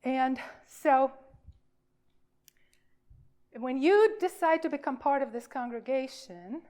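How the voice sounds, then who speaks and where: A woman minister giving an informal sermon for all ages, speaking in a halting, emphatic way with deliberate pauses. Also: sits high, a little mellow.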